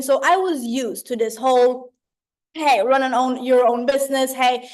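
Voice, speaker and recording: A woman, giving an informal, slangy motivational training on a video call in a chatty, animated way. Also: sits high. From Sweden. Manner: chatty, animated, slangy, checks in with "right?" and "you know?". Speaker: mentor leading an online training.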